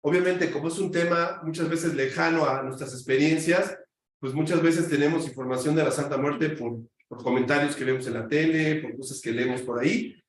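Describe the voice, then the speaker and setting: A male teacher with a slightly raised pitch talks informally, gently urging his students to gather round. He is picked up from across an echoey classroom, with a faint hum of ambience behind him. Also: steady tone, normal speed, distant mic. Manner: informal, coaxing students to come closer. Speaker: university teacher, host of the session. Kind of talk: casual classroom hosting. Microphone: far room mic.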